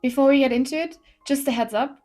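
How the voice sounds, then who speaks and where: A woman reads a scripted review of a television drama, earnest and conversational with a light touch of humor. Her voice sits a bit on the high side. Soft music plays faintly beneath her. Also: fast. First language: not Korean.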